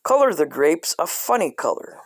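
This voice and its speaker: Man, deadpan comic reader, swooping lively intonation, dry close sound, middle pitch. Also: moderate pace, no echo. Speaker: host reading a coloring book aloud. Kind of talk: comic deadpan reading with profane asides.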